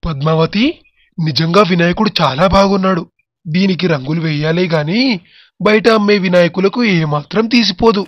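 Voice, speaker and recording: A man's voice: sits high, normal speed, no room sound. A man playing a humble, grateful poor village husband and father in a moral story cartoon. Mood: curious, admiring. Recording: dull, muffled track with music. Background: background music.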